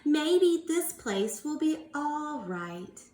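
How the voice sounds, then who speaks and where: A woman voicing a discontented, bossy female bird in a children's storybook, with emphatic, drawn-out words. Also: sits high, sing-song, warm tone, echoey room, pitched above the performer's own voice, exaggerated voice. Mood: decided, bossy. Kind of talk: storybook read-aloud for young children.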